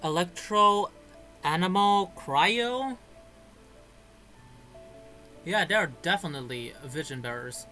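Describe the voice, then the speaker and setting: A man's voice: high-pitched, animated, takes it slowly, long pauses, bright, forceful tone, faint music behind. A man giving casual, live commentary while playing a video game.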